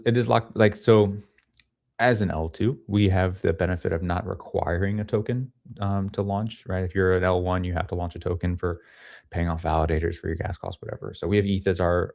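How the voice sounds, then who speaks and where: A man with a low, gravelly voice gives casual, explanatory answers as an interview guest. He is close on a podcast microphone, and the sound is very dry. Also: little pitch movement, normal speed, a little mellow, no echo. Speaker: guest, head of ecosystem at a crypto project. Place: small home room with studio gear, indoors. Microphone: podcast microphone on a boom arm.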